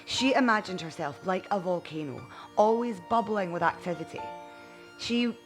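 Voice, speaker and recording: A woman reading a bedtime story aloud in an expressive audiobook narration style. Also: mid-range voice, normal speed. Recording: music behind the voice.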